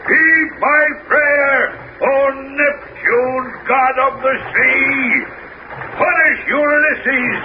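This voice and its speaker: An actor playing a huge, brutish one-eyed Cyclops in a 1970s radio drama, slow and booming. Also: male voice, full character voice. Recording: dull old radio broadcast with loud hiss.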